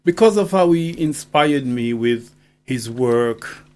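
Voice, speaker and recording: A man talking as a poet guest on radio, deliberate and emphatic, often checking he is understood. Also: medium pitch, animated, darkish tone. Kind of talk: conversational radio interview.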